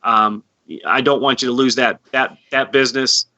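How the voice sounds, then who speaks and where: A man's voice: medium pitch, fairly even intonation, moderate pace, bright, forceful tone. A man speaking as a guest company expert, informally explaining things in an earnest, helpful way. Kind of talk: informal interview answers.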